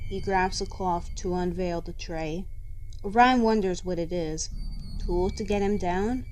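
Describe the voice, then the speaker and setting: Female storyteller, medium pitch, scripted dramatic narration, faint background music. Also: a little mellow, low-quality recording.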